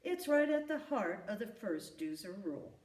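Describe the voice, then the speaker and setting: A woman reading a rhyming children's story aloud in an expressive, emphatic storytelling manner. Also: mid-range voice, moderate pace. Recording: echoey room, distant from the mic.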